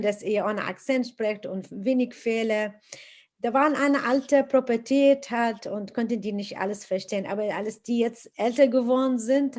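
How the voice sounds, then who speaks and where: Middle-aged woman, slightly high pitch, heartfelt interview storyteller. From Iraq. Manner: earnest, animated retelling with many hesitations.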